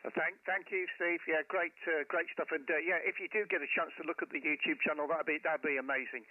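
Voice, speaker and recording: A man's voice: a little high, steady tone, quick pace, no echo, phone-quality sound. A man making casual amateur radio contacts on a headset mic, speaking enthusiastically with hesitant phrasing. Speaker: amateur radio operator, live streamer. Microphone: headset microphone.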